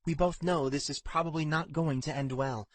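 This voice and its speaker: A man's voice: a little high, fairly even intonation, normal speed, no echo, voice pushed up, natural voice. A man voicing an earnest, persistent, protective young woman in audiobook dialogue. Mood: grave, pleading.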